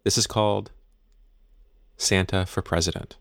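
A man hosting a podcast, chatting in a relaxed, conversational way and reading ads with light hesitations. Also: sits low, unhurried, creaky, a little hiss or hum. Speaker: podcast host. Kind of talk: chatty intro and ad reads.

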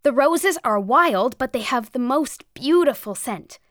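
High, lilting fairytale maiden. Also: female voice, expressive, measured pace, fairly bright tone, no room sound, studio quality. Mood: cheerful. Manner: lilting, wide-eyed, breezy. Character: dreamy, sweet, innocent fairytale maiden. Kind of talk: fairytale audio drama acting.

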